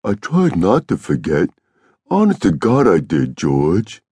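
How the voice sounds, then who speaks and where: A man voicing a big, childlike, simple-minded man in an audiobook, with slow, halting, naive rural American speech. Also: sits low, huge pitch swings, warm tone, husky, no echo, clean studio sound, heavily acted. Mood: timid, apologetic.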